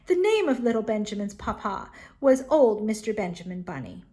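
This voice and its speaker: A woman storyteller reading a children's tale aloud expressively, with dramatic pauses and emphasis. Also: mid-range voice, very animated, dark tone.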